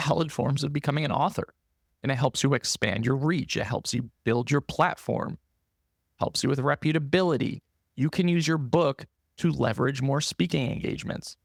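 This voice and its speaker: A man talks straight to his podcast listeners in an earnest, encouraging way, filling pauses with "um" and "like". His voice is gravelly and worn, as if he is losing it. The sound is close and dry, with no room around it. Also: medium pitch, no echo. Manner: earnest, encouraging, frequent fillers like "um" and "like". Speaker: podcast host. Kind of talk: solo podcast monologue, coaching tone.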